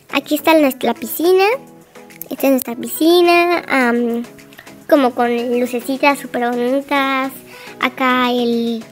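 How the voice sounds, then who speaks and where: A woman giving a chatty, gushing voice-over tour of a game house, full of little fillers. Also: high-pitched.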